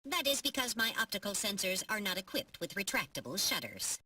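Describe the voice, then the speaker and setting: A woman's voice: mid-range voice, sing-song, brisk, bright, forceful tone, breathy edge, far from the mic, exaggerated voice. A woman voicing a small, prim, literal-minded robot sidekick in a video game, precise and dryly sardonic. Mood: scolding, dry. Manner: precise, matter-of-fact, dryly teasing.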